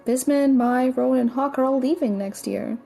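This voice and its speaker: A woman's voice: high voice, normal speed, gravelly, dark tone, soft background music. A young woman playing a stressed, sarcastic student who is a loyal friend, in a naturalistic audio drama. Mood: apologetic, anxious. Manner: sarcastic, exasperated, swearing.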